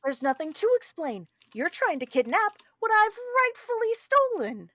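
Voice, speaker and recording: A man's voice: very high-pitched, big pitch swings, moderate pace, pushed and bright, dry recording, full character voice. A man playing a conceited, scheming criminal mastermind in a theatrical table read, pompous, wordy and argumentative. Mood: menacing, smug.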